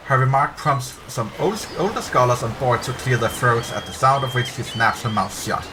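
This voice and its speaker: A man's voice: mid-range voice, normal speed, music in the background. A middle-aged man reading a story aloud in a narrator's style, occasionally stumbling over words. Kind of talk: dramatic story read-aloud. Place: indoor room at a streaming desk. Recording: clear background ambience noise.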